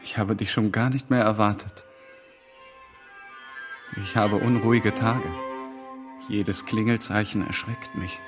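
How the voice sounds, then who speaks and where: Actor as slow, low-voiced melancholy teacher Friedrich. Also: male voice, fairly even intonation, over music, close to an everyday voice. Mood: gently weary. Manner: halting, brooding, introspective. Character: Friedrich, melancholy, wounded-hearted German male teacher. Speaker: actor in a radio drama. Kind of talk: literary radio drama acting.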